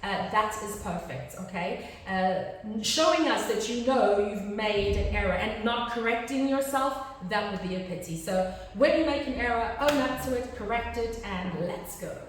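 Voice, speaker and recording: A woman's voice: medium pitch, expressive, normal speed, few pauses, a little hiss or hum. Brief: woman examiner, encouraging and upbeat, heard from afar with long reverb. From South Africa. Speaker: teacher and speaking examiner. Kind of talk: casual Q&A discussion. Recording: echoey room from far off.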